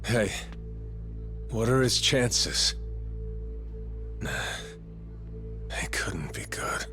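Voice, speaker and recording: An actor playing a brash, rough-spoken yakuza man in a game dub. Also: fairly low pitch, expressive, drawn-out words, music in the background, full character voice. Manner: brusque, rough-edged. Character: tough, brash yakuza man with a protective streak.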